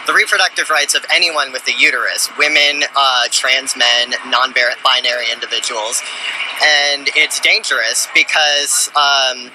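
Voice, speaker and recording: A young man explaining his views in halting, measured phrases in an outdoor street interview. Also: medium pitch, fairly even intonation. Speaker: student protester and interviewee. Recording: faint street noise behind.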